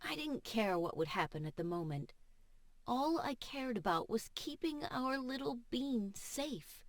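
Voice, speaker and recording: Slow, dry-sounding woman narrating fiction. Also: fairly high pitch, animated, no room sound. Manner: emotive, measured, deliberate delivery. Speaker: audiobook narrator. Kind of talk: expressive first-person fiction narration. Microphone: studio voice-over microphone.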